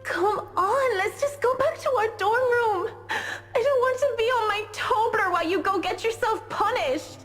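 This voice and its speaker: A woman's voice: very high voice, a little slow, fairly bright tone. A woman voicing a timid teenage schoolgirl who talks in an anxious, coaxing way. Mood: pleading. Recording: reverberant game audio with music.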